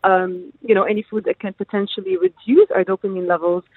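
A woman's voice: mid-range voice, sing-song, fairly quick, husky, dry recording. A woman dietitian from the UAE, a guest on a radio show, explaining fluently with many filler sounds. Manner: fluent and informative, many ums. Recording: tinny phone line.

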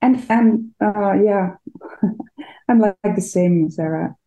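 A woman co-hosting a podcast, speaking haltingly with many fillers and restarts. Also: medium pitch, dark tone, rough recording. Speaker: podcast co-host. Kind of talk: casual podcast chat.

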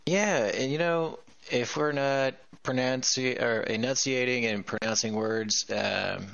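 Gravelly-voiced male radio co-host from Indiana. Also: mid-range voice, measured pace, fairly bright tone, dry recording, highs cut off. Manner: easygoing, self-deprecating. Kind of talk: casual radio banter.

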